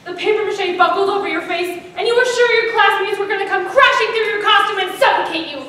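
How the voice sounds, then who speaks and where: A woman's voice: extremely high pitch, fast, sharp tone. A teenage girl narrating a comic stage story as a student performer, with a theatrical, projected delivery. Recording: echoey room, distant mic, faint noise.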